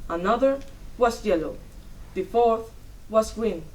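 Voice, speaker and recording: A young woman giving a dramatic reading of a story, in measured, deliberate short phrases. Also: a little high, lively intonation, a little mellow. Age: young adult. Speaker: student performer in a dramatic reading. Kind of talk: dramatic storytelling read from a script. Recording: distant mic, noisy room.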